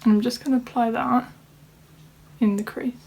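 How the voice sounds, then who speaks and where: A woman's voice: fairly high pitch, fairly even intonation, moderate pace, gravelly, warm tone, slight background noise. A woman vlogger walking through a makeup tutorial in a casual chat, sounding slightly nasal from a cold. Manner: chatty, talks through each step. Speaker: beauty vlogger. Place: home room at a desk.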